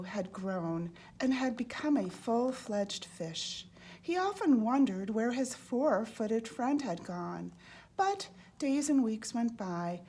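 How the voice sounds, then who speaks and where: A woman reading a children's storybook aloud in an expressive way, as a storyteller. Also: on the high side. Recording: clearly noisy background.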